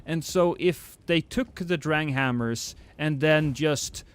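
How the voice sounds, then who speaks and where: A man's voice: a little high, slow, smooth. A Norwegian man with a slightly British-tinged accent, chatting casually while streaming a game and reading chat, often restarting sentences. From Norway. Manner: chatty, self-deprecating, many restarts. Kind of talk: casual gameplay livestream chat.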